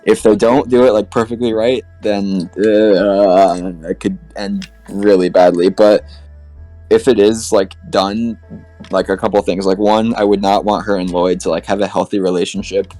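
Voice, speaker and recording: A man's voice: fairly low pitch, steady tone, moderate pace, warm tone, no echo, faint music behind, poor audio. A man chatting casually as a podcast panelist, rambling and opinionated about fan topics.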